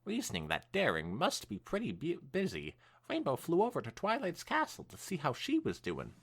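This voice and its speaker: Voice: medium pitch, wildly swooping pitch, normal speed, husky. A podcast co-host reading fan fiction aloud as narrator, with casual asides. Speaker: podcast co-host and story reader.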